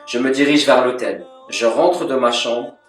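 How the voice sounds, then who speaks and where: Calm, measured man with roomy sound. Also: mid-range voice, faint music behind. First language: French. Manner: calm, measured, devotional delivery with Arabic formulas. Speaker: religious teacher. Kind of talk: scripted voice-over lesson. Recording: echoey, from a distance.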